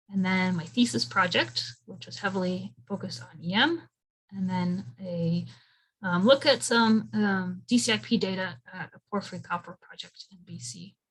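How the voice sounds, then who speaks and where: A woman's voice: mid-range voice, fairly bright tone, poor audio. A woman in her thirties, from Belgium, giving a conversational career talk over a video call, with frequent ums. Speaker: invited speaker.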